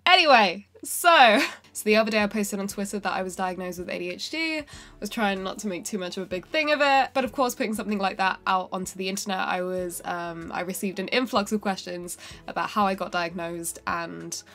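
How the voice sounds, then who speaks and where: A woman's voice: medium pitch, fairly quick, fairly bright tone. Nasal woman with a cold. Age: 20s. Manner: rambling, with frequent fillers and self-corrections. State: has a cold, sounds nasal. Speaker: singer-songwriter and vlogger. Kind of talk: casual talking-to-camera vlog. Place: bedroom indoors.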